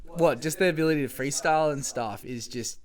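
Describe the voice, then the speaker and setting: A man in his thirties, chatting casually as a podcast guest, full of filler words and quick laughs. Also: fairly high pitch. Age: 30s. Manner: laid-back, lots of filler likes, laughs often. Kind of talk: casual chat.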